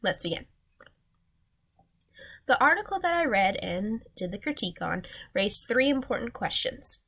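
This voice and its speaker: A woman's voice: on the high side, big pitch swings, normal speed, sharp tone. A young woman with a nasal voice, just over a sinus infection, earnestly giving a recorded class presentation from her slides. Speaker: student presenter.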